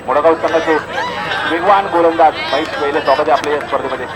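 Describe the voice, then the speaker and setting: High-pitched male cricket commentator. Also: fairly even intonation, quick pace. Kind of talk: live commentary at a village cricket tournament. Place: outdoor cricket ground. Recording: noisy outdoor event with loud crowd. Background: crowd and outdoor ambience noise.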